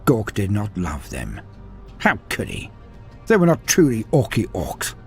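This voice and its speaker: A man reading a scripted story aloud with dramatic, expressive delivery. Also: lowish voice, unhurried, faint music behind. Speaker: narrator.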